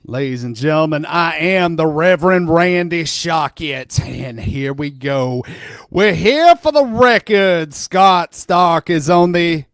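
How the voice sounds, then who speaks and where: A man playing a theatrical reverend in a slow, declaiming comedic courtroom reading. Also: on the high side, lively intonation, a bit bright, clear, resonant tone, no echo, studio quality, heavily acted. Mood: grandly announcing. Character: theatrical male reverend opening a courtroom deposition.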